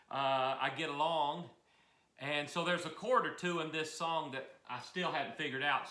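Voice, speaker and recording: High-voiced folksy older man in a roomy cabin. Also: sharp tone. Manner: folksy, chatty, self-deprecating. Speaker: music teacher and host. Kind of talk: casual instructional chat. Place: mountain cabin room. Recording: roomy echo. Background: old piano.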